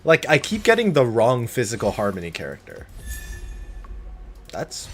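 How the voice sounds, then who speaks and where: A man streams a game with casual, joking, sweary commentary. His voice is mid-pitched and very varied in intonation, with faint game music behind it. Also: wildly swooping pitch, moderate pace. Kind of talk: casual live gameplay commentary.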